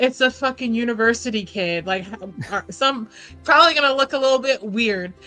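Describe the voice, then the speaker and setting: A woman's voice: fairly high pitch, bright, forceful tone. A woman chatting casually as a player in a tabletop roleplaying game, informal and thinking aloud, with the odd swear word.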